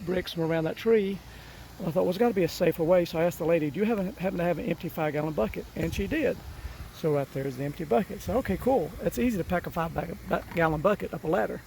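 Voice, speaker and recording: A fairly high-voiced man talks through a roof repair in a folksy, rambling way, restarting his sentences as he works. His voice is rough from a cold. A faint hiss sits behind him outdoors. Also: darkish tone, low-quality recording. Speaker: DIY vlogger. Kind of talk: casual narration while working.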